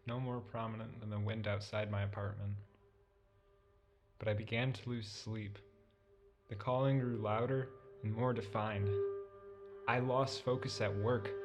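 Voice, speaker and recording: Radio drama lead: obsessed man coming unglued, played by a male actor, lowish creaky voice, melodramatic delivery over prominent music. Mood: haunted. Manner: brooding, deliberate, melodramatic. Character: obsessive, increasingly unhinged man confessing his fixation. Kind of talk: scripted first-person radio drama monologue. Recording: loud music under the voice.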